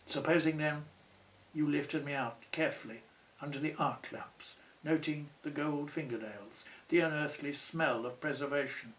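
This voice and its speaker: A proud, secretive dead pharaoh speaks from deep in his tomb, as a poet recites him. The delivery is measured and solemn, with a slightly raised male pitch. The voice sits a little back from the microphone. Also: a bit bright, lightly acted. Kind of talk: poetry recitation. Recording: voice somewhat distant from the mic.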